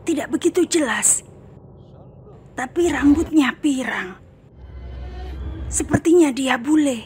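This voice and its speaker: A woman voicing a calm, self-assured psychic in a horror cartoon, speaking in a measured, often hushed way. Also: high-pitched, faint music behind. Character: calm female psychic, middle-aged, sure of herself. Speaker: paranormal.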